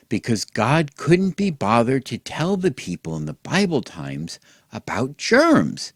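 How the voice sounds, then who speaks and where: A man hosting and telling a mock children's bedtime story in an exaggerated gentle, sing-song way, as if speaking to small children. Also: mid-range voice, gravelly, no room sound, studio quality. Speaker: host and storyteller.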